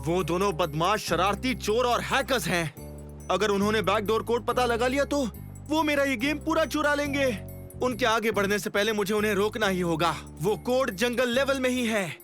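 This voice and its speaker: A very high-pitched, self-important cartoon inventor, fretful and quick to exasperation. Faint music runs behind his dramatic lines. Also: fairly bright tone. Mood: indignant, pleading. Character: vain, anxious male game inventor, easily exasperated. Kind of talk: animated comedy dub.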